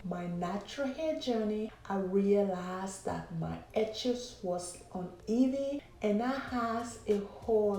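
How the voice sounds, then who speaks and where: Lady hair vlogger, easy unhurried chat, echoey room sound. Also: medium pitch, warm tone. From Liberia. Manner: chatty, keeps addressing viewers as guys. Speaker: natural hair vlogger and braider. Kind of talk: casual vlog chat and voiceover.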